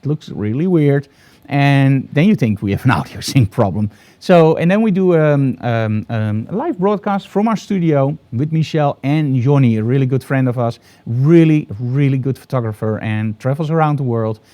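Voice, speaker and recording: Upbeat Dutch-accented man, mellow voice. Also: mid-range voice, lively intonation, normal speed. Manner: upbeat, enthusiastic, promotional. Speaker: photography host. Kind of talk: live broadcast chat. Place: photo studio.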